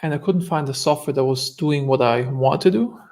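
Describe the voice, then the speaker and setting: A man's voice: medium pitch, normal speed, darkish tone. A man with a German accent, a guest entrepreneur telling stories in an earnest, reflective way during a casual interview.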